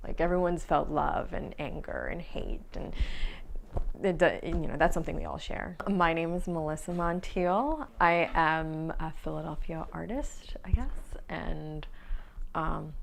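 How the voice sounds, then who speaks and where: Low, gravelly woman, reflective. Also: measured pace, slight background noise. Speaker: artist.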